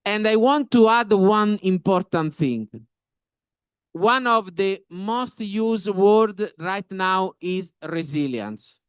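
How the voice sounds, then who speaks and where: A man with an Italian accent gives panel remarks in a smooth, rather high voice. He moves slowly, phrase by phrase, in halting but emphatic non-native English. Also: expressive, dry recording, clean studio sound. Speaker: professor, panel speaker.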